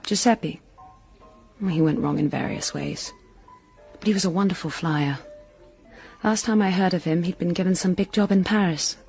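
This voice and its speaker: Wistful young romantic woman for radio drama: dreamy, theatrical, fry in the voice, light music bed. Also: fairly low pitch, moderate pace, pauses often, darkish tone. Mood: earnest devotion. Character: romantic, wistful young woman, stage performer with smuggler brother. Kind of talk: intimate radio drama acting.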